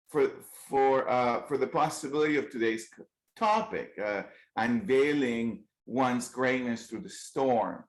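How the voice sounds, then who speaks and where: A man's voice: fairly high pitch, roomy sound, rough recording. Colombian-accented man giving an earnest keynote. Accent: Colombian Spanish. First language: Spanish. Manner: earnest, frequent restarts and fillers. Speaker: keynote speaker. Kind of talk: motivational keynote speech.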